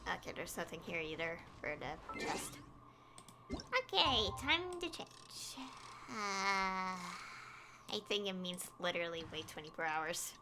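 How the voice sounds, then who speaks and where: A woman's voice: lowish voice, expressive, measured pace, over music. A woman livestreaming a video game, chatting casually in a rambling, giggly way. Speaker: game streamer. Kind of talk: casual livestream chat over gameplay, reading game dialogue aloud.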